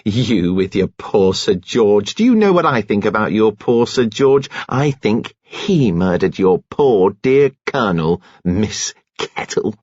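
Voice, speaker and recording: Man playing a brazen woman, pitched up and scornful. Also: expressive, moderate pace, slightly rough, no echo, lo-fi sound. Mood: venomous. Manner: scornful, slangy, emphatic. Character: brazen, embittered, slangy woman in a rage. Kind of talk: dramatised audiobook character voice.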